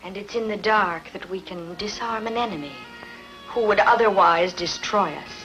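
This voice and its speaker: A woman acting a proud, scheming queen in a dubbed historical epic, solemn and measured in her dramatic delivery. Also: mid-range voice, fairly bright tone, faint music behind, natural voice, poor audio. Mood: ominous.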